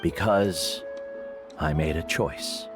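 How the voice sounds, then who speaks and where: An actor playing a veteran guide and teacher who speaks in a measured, reflective way in game dialogue. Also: male voice, extremely low pitch. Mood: steady. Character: older male guide mentor, calm and wise. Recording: game audio with faint music.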